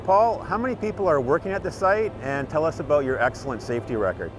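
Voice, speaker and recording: A man's voice: fairly high pitch, animated, fast talker. A middle-aged man, delivering scripted narration as a utility spokesman giving a project update. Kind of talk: scripted narration and on-site presenting. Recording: music behind the voice.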